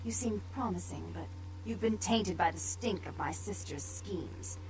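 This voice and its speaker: A woman's voice: mid-range voice, a little slow, background noise, lightly acted. A woman playing an imperious, bitter vampire sister in a video game, haughty and theatrical. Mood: cold regret.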